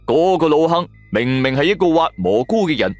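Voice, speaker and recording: Cantonese guy narrating a spooky radio serial, pitch swings a lot, dry close sound w some music under. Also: big pitch swings, normal speed, no room sound. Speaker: audio drama narrator. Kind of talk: scripted first-person narration of a supernatural radio serial. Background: background music.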